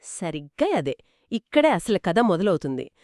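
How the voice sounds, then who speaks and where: A woman's voice: on the low side, very animated, normal speed, darkish tone, dry recording, studio quality. A woman telling a moral story conversationally to a co-host, explaining each step expressively and affirming as she goes.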